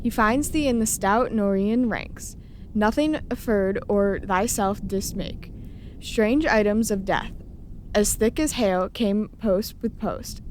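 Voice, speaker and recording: A woman's voice: medium pitch, darkish tone, a little hiss or hum, close to an everyday voice, poor audio. Formal royal messenger, played by a woman. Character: formal royal messenger praising a war hero. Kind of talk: dramatic Shakespeare reading. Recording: faint background ambience.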